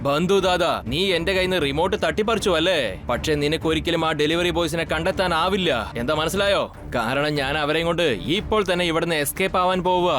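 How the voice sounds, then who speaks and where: A man's voice: fairly high pitch, quick pace, fairly bright tone, lightly acted. A man voicing a boastful, threatening gang-boss villain in a dubbed children's cartoon, menacing and self-important.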